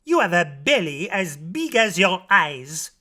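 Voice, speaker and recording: A bright, lively housemaid, acted out by a storyteller. She is a plain-spoken, practical woman in a children's storybook. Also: mid-range voice, measured pace. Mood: scolding. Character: plain-spoken household maid, scolding yet calm woman.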